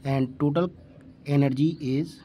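A man with an Indian accent tutoring physics, working through a problem step by step in deliberate, halting phrases. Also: a little high, steady tone, mellow, slight background noise. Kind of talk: step-by-step worked-problem lesson.

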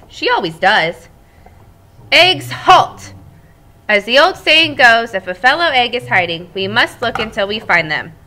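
A cheerful, chatty little egg fellow who enjoys his quiet time, voiced by a woman reading a storybook aloud. The voice sits high and bright, swinging up and down with lively storybook energy. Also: exaggerated voice. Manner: bouncy, expressive storytelling.

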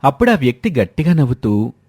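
Man, story narrator, scripted, dark mellow tone, dry close recording. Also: mid-range voice, sing-song, fast, slightly rough, no echo.

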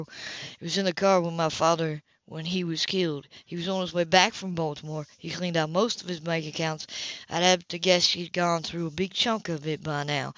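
A woman's voice: lowish voice, a bit bright, dry recording, muffled recording, natural voice. A woman reading an audiobook, voicing a blunt, bitter young man who speaks in a hard, sarcastic, cutting way.

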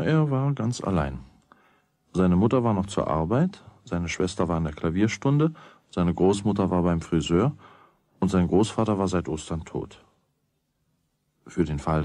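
Wry storyteller, really low husky voice, kids radio play, chatty and musing. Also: male voice, darkish tone, no echo. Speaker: narrator. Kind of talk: German children's radio play narration.

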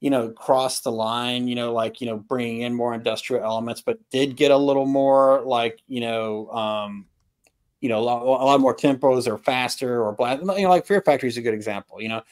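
A middle-aged man talks casually about music over a video call from a room at home. His delivery is halting and opinionated, with frequent throat clearing, at an ordinary male pitch. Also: steady tone, darkish tone. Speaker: album review panelist. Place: home room with records, indoors.

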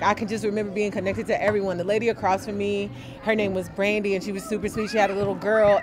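A young woman from Dallas, chatty and animated, telling a personal story as an interviewee outdoors on a sunny lawn. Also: mid-range voice. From Dallas, Texas. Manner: chatty and animated, gesturing with her hands. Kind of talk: casual storytelling.

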